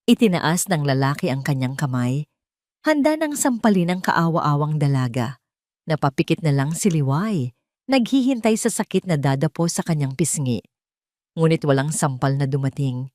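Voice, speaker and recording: A woman's voice: deep voice, sing-song, moderate pace, a little mellow, no room sound, clean studio sound. A woman reading a scripted emotional story as a dramatic narrator.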